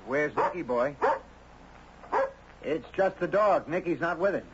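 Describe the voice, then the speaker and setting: Earnest gentle man, very noisy radio play. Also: fairly high pitch, expressive, measured pace. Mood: concerned, urgent. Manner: tactful, hesitant, earnest. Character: gentle, sympathetic male confidant, earnest and hesitant. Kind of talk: 1970s radio drama acting. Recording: muffled, very noisy 1970s radio recording.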